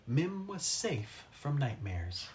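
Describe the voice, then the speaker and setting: Animated man reading a children's story. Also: mid-range voice, background noise. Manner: dramatic, with pauses for effect. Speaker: storybook reader. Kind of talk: expressive children's story read-aloud.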